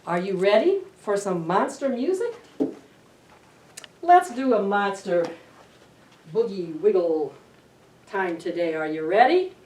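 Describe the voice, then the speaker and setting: Middle-aged woman, storytime host, very lively pitch, unhurried, dramatic read-aloud, questioning asides. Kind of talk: animated picture-book read-aloud for children. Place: indoor library storytime room. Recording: roomy, distant camera microphone.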